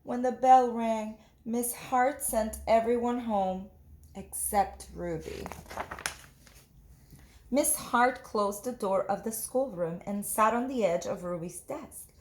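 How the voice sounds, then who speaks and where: A woman's voice: fairly high pitch, slow, a little mellow, a little hiss or hum. A woman teacher reading a picture book aloud, narrating and putting questions to young listeners. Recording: roomy echo.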